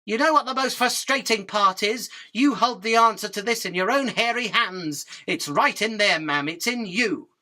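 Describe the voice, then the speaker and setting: Insect doomsayer for radio play, female actor: sharp bright tone, theatrical, earnest and defiant, preaching with a sardonic edge. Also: mid-range voice, normal speed, hardly any pauses, close to an everyday voice. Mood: desperate, pleading. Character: tiny, earnest, defiant winged insect doom prophet. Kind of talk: theatrical radio drama acting.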